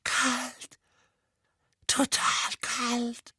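A man's voice: very high-pitched, fairly even intonation, slow pace, a bit bright, no echo, clean studio sound, pitched above the performer's own voice, exaggerated voice. A man voicing a frightened, pampered teenage boy who whispers and stammers shakily. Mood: shaken. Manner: shaky, breathy whisper, stammering.

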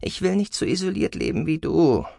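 Guy doing a stroppy teen girl for an audiobook, high voice, indignant and pushy, really animated. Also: brisk, creaky, no echo, clean studio sound, heavily acted. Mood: reproachful. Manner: indignant, emphatic, pleading. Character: defiant, hot-tempered adolescent girl arguing with her mother. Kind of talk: dramatic audiobook character acting.

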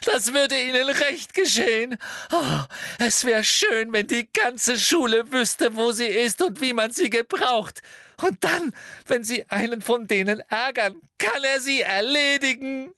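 A man voicing a tearful, desperate teenage schoolgirl with a choked, shrill, sniffling voice in an audiobook. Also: expressive, a bit bright, no echo, studio quality, heavily acted. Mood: shrill, defiant. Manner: sobbing, halting.

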